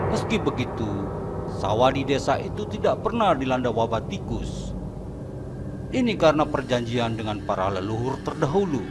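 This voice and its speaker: A man voicing a nervous, superstitious henchman chief in a horror cartoon, stammering and hesitant. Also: mid-range voice. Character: timid, superstitious male henchman boss.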